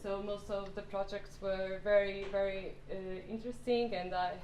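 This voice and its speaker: Portuguese-accented woman, guest lecture, halting with ums, roomy hall, faint hiss. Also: mid-range voice, little pitch movement, a little mellow. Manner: many fillers and restarts, slightly non-native phrasing. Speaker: guest speaker. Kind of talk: hesitant lecture talk. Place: lecture room.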